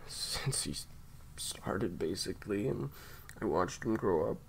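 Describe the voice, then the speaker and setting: A man playing a grieving, guilt-ridden secret agent in an audio roleplay, choked up and halting, sometimes dropping toward a whisper. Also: deep voice, expressive, gravelly, slight background noise, exaggerated voice. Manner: halting, faltering, breaking into whispers. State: upset, choked up with grief. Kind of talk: intimate audio roleplay acting.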